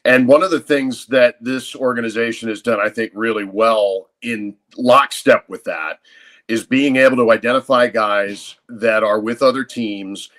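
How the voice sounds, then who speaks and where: A man's voice: medium pitch, measured pace, a bit bright. Articulate man talking sports analysis. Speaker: radio play-by-play announcer, podcast guest.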